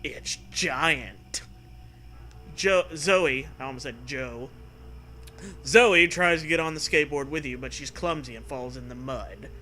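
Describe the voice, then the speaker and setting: A man's voice: mid-range voice, normal speed, sharp tone. A man co-hosting a podcast, reading a gamebook aloud in a playful, theatrical way with joking commentary. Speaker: podcast co-host. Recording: faint background noise.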